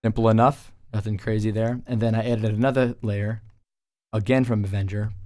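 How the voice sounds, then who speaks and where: A man's voice: lowish voice, flat delivery, normal speed, warm tone, no echo. A man talking casually as a music producer, explaining his work in an informal tutorial voice-over. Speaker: music producer presenting a track breakdown.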